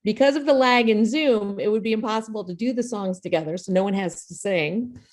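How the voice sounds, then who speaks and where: A woman's voice: mid-range voice, a little fast, a little mellow. Female host for video-call show introduction; informal, jokey, self-effacing delivery. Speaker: playwright and host of an online table read.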